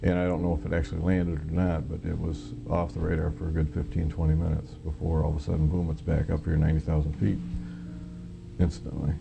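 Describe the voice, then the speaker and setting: An American man recounting his own experience in an interview, halting, plain-spoken and matter-of-fact, as a former air traffic controller. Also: extremely low pitch, level tone, gravelly, lo-fi sound, music in the background.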